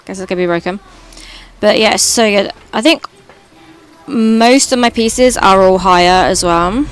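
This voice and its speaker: A British woman with a high voice, streaming and chatting casually while gaming, rambling and swearing now and then. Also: moderate pace, soft background music. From Britain.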